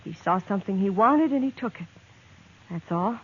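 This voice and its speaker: A woman's voice: mid-range voice, expressive, moderate pace, husky. An actress playing a young woman in an old radio western, hesitant and earnest, often stammering. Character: young woman, torn and pleading, in love with an outlaw. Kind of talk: 1950s radio drama acting. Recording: tinny vintage radio transcription.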